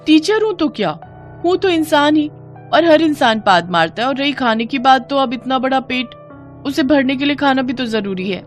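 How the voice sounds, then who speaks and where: A woman voicing a greedy, shameless, self-pleased female schoolteacher in an animated moral story, with a brazen, gleeful, drawn-out delivery. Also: sits high, soft background music, pitched above the performer's own voice.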